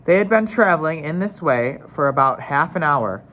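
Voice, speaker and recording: A man's voice: on the high side, animated, normal speed, pushed and bright. A man narrating a children's novel in a read-aloud style, acting out the dialogue.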